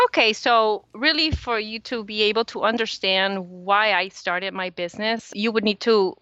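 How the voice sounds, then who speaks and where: A woman from El Salvador whose first language is Spanish speaks as an interview guest. Her voice is bright and clear, her delivery earnest and upbeat. The audio is dry and a little lo-fi. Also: mid-range voice, normal speed, no echo. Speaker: podcast guest, small business owner. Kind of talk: conversational interview answers. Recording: slightly dull, lo-fi audio.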